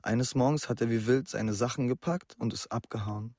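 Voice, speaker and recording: A man's voice: medium pitch, moderate pace, a little mellow, no room sound, natural voice. Young male actor as anxious teenage schoolboy for radio drama, mid-range voice, earnest confiding delivery, very dry recording. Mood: confiding, troubled. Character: anxious schoolboy worried about his older brother. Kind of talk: naturalistic radio drama dialogue.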